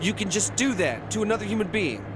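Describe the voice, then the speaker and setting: A man's voice: high-pitched, very animated, quick pace, heavy background noise. An actor playing an anxious eighteen-year-old boy on the run in an audio drama. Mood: frightened, pleading.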